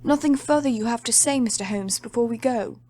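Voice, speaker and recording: A woman's voice: fairly high pitch, a little fast, mellow, dry recording, clean studio sound, close to an everyday voice. A woman doing a puzzled police inspector. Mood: bewildered. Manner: blunt, bewildered. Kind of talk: audiobook dramatic reading.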